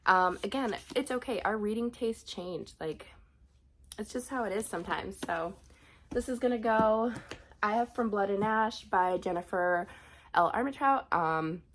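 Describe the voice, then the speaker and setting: A woman's voice: medium pitch, unhurried, slight background noise. A young woman with a cold, sounding sniffly as she chats casually about books, with frequent ums and restarts. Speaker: book vlogger. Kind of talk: casual book unhaul chat.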